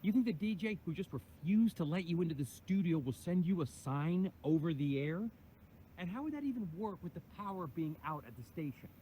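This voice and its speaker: A man's voice: fairly high pitch, expressive, brisk, warm tone, slight background noise, close to an everyday voice, low-quality recording. A man playing an exasperated husband who argues with the man who has taken him along. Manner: exasperated, arguing. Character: exasperated husband trying to reach his wife. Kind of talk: comedic sketch acting.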